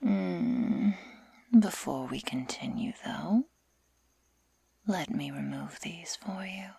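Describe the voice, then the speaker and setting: A woman voicing a sadistic, taunting werewolf girl in a breathy whisper for an ASMR roleplay, speaking slowly and deliberately with mock-sweet menace. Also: fairly low pitch, animated, mellow, dry recording, full character voice. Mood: mock sweetness.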